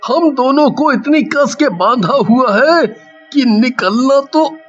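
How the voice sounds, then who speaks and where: A man's voice: extremely high pitch, lively intonation, takes it slowly, fairly bright tone, highs cut off, full character voice. A man voicing an anxious male thief in a horror cartoon. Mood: despairing. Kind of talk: cartoon horror story dialogue.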